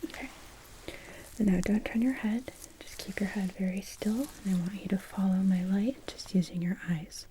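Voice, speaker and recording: A woman in her thirties speaking in a soft, breathy whisper, giving calm, gentle instructions as a medical technician in an ASMR roleplay, recorded close. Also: mid-range voice, moderate pace, a little hiss or hum, rough recording. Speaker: ASMR performer playing an EEG technician. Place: small indoor room dressed as hospital bay.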